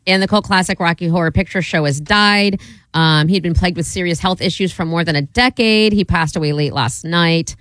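A woman co-hosting a radio show in a brisk, wry way, reading out the news and steering the segments. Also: fairly low pitch, fairly bright tone, dry recording. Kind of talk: casual radio chat.

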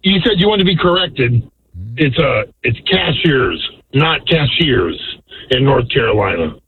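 A raspy-voiced male caller on the phone, needling the host with a correction, from outside a store. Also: mid-range voice, animated, a little slow. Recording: tinny phone line with loud crowd.

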